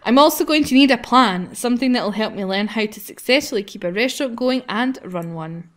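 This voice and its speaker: A woman's voice: on the high side, lively intonation, fairly quick. A woman hosting a casual group let's play, chatting and reading game text aloud in a playful, giggly way. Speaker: gaming channel host.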